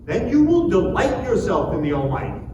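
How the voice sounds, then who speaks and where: A man's voice: high voice, sing-song, brisk. A man in a dramatic scripture reading, playing a righteous friend who earnestly preaches repentance. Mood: exhorting. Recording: very echoey, distant mic, noisy room.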